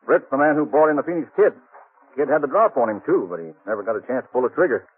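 A man's voice: medium pitch, animated, quick pace, natural voice. A man playing a determined frontier sheriff in a 1950s radio western, folksy and matter-of-fact. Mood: friendly, businesslike. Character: plainspoken, determined frontier sheriff leading a posse. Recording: dull old 1950s radio recording.

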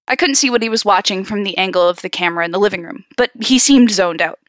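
A woman hosting a story-reading show, switching between expressive narration and slangy, sarcastic casual asides. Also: mid-range voice, fast talker, no echo, studio quality. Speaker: podcast host reading horror stories aloud. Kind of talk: story read-aloud mixed with casual banter.

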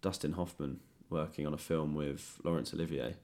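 A man's voice: extremely low pitch, steady tone, moderate pace, a little mellow. A man hosting a conversational interview, speaking tentatively and thoughtfully as he searches for the right words. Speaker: podcast host. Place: indoors, guest's home.

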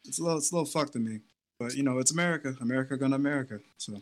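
A man's voice: medium pitch, racing. A man co-hosting a casual podcast, rambling and hedging his points with many fillers and restarts. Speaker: podcast co-host. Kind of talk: casual chat.

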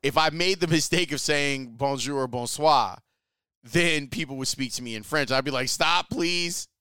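A man's voice: medium pitch, moderate pace, sharp tone, smooth, dry recording, studio quality. A man casually telling a travel story in a chatty, enthusiastic way, using slang. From Chicago, US. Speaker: sports reporter.

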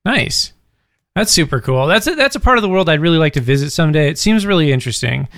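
A man's voice: a little high, sing-song, fast talker, fairly bright tone, no echo, studio quality. A man in his thirties from Alaska hosting a casual podcast, enthusiastic and agreeable. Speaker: podcast host. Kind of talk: casual chat.

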